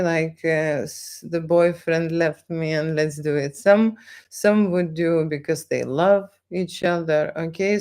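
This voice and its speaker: A woman with a Lithuanian accent chats unscripted on a live stream, haltingly, repeating phrases and often checking in with short tag questions. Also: fairly low pitch, a little mellow. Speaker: live stream host, photographer. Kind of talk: unscripted live stream chat, answering viewers.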